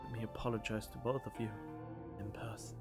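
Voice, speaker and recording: A man voicing a desperate, grieving husband and father, reading game text theatrically, swinging between loud and hushed. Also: on the low side, little pitch movement, fairly quick, music in the background, natural voice. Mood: remorseful. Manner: dramatic read-aloud with loud outbursts and hushed moments. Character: grief-stricken, desperate husband and father, pleading.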